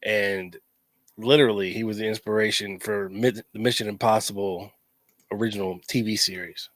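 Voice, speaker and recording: A man co-hosting a podcast in casual chat, stuffy from a coming cold, energetic and joking. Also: lowish voice, normal speed, dry recording. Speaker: podcast co-host.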